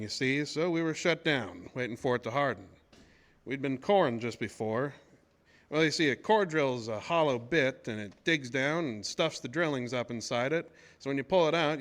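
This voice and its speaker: An actor playing a folksy, easygoing oil driller in a horror audio drama, talking in colloquial rural speech. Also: male voice, mid-range voice, fairly bright tone, natural voice. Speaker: narrator and lead character. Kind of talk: first-person horror audio drama storytelling. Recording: noisy track with ambient sound.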